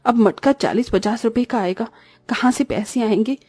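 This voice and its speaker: A woman's voice: fairly high pitch, normal speed, a little mellow, husky, no room sound. A woman voicing a burdened but caring orphaned eldest sister, a young woman in a cartoon story, speaking wearily and reassuringly. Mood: weary, worried. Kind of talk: Hindi cartoon story voice acting.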